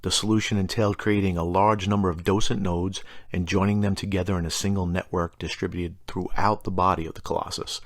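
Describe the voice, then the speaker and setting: A man's voice: low voice, level tone, brisk, hardly any pauses, dry recording, clean studio sound. A man presenting tabletop gaming lore, reading scripted narration and adding casual, mildly wry asides in an explanatory way.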